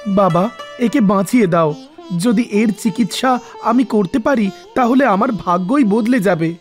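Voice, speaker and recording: A man's voice: high voice, measured pace. A man voicing an earnest young village doctor and pleading son in a cartoon. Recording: music under the voice.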